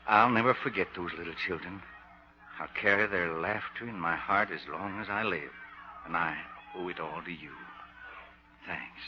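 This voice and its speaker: A man acting a meek, grateful, soft-hearted fellow with a halting, humble delivery in 1950s radio comedy, on a muffled old broadcast. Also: sits low, natural voice. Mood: moved, grateful.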